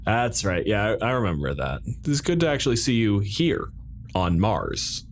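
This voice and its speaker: Man as stern bureaucratic president for a sci-fi roleplay; formal, halting delivery, widely swinging intonation. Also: fairly low pitch, sing-song, slight background noise. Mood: politely formal. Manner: deliberate, formal, halting with pointed pauses. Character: measured, bureaucratic male colonial president, coolly authoritative. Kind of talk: improvised roleplay dialogue.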